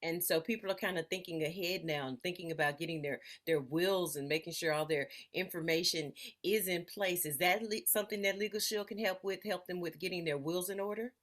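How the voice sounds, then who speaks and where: A woman's voice: fairly low pitch, fairly quick, hardly any pauses, fairly bright tone. A woman hosting an interview, encouraging and affirming her guest. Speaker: interview host. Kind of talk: interview conversation.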